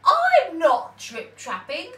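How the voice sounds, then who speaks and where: A tiny, timid storybook creature, squeaky and pleading, as a woman voices it while reading aloud. The voice is very high and lively, and the reading is slow. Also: reverberant, recorded from a distance, heavily acted. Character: small timid mouse and rabbit pleading not to be eaten.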